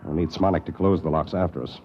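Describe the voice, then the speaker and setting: An actor in a 1950s radio drama playing a cautious, principled anthropologist, earnest and reasonable. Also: male voice, a bass voice, steady tone, fast, husky. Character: cautious, principled male anthropologist on alien outpost. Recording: muffled old radio recording.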